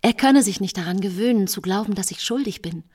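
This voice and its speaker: An actress playing a despairing, pleading middle-aged accused woman, breathy and husky, in a radio drama inner monologue. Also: mid-range voice, fast, darkish tone, distant mic, natural voice. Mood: anxious.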